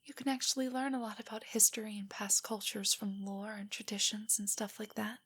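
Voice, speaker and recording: A woman playing a nervous, nerdy, affectionate young girlfriend in an intimate audio roleplay, speaking softly and breathily in a hesitant, earnest way. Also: medium pitch, level tone, brisk. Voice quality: soft, breathy, whispery. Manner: hesitant, rambling, earnestly explanatory.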